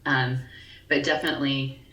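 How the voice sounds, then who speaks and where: Woman, forties, very deep scratchy voice, pauses and ums, roomy distant mic, faint background hum. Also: flat delivery, bright, forceful tone. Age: 40s. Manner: pauses and searches for words, frequent ums. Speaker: podcast co-host. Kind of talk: casual chat.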